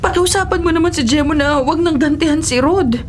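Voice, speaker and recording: A woman's voice: sits high, brisk, a little hiss or hum, close to an everyday voice. A woman playing a worried, meek wife in a radio drama, pleading in a hesitant, deferential way. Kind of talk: Filipino radio drama acting.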